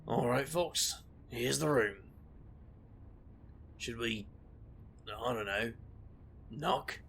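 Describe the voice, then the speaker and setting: A man's voice: medium pitch, expressive, very slow, gravelly, fairly bright tone, breathy edge, full character voice. A man playing a wry, weary investigator for hire, dry and sardonic, in a comic audio drama. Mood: dry, cautious.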